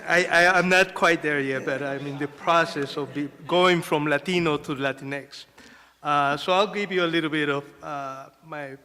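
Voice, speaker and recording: A man from Mexico telling a personal story to an audience as a panelist, halting with fillers and restarts. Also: fairly high pitch, pushed and bright. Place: museum event hall. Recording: long reverb of a hall.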